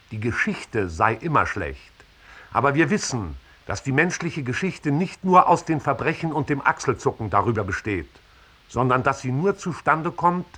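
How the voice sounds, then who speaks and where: Male press reader, old radio drama: sharp, slightly husky voice, formal, emphatic, stern declamation. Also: medium pitch, exaggerated voice. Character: stern male newspaper reader reciting editorials and reports. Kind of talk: scripted, declaimed 1960s radio drama reading.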